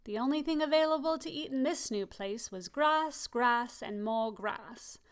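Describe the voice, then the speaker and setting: A woman reading a children's picture book aloud, expressive and slowing down on key phrases. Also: fairly high pitch, smooth. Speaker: storybook reader. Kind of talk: children's story read-aloud.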